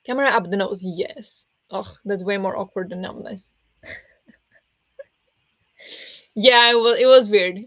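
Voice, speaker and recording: Woman rambling on a book stream, pressed kinda raspy voice, dull lo-fi sound. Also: medium pitch, measured pace, pauses often, fairly bright tone, dry recording. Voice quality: pressed voice that turns raspy easily. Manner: rambling, with many fillers and restarts. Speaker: book streamer hosting reading sprints. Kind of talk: casual livestream chat with viewers.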